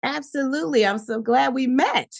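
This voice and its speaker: A woman's voice: sits high, moderate pace, vocal fry, dry recording, studio quality. A woman hosting a podcast interview, upbeat and enthusiastic with her guest. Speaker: podcast host.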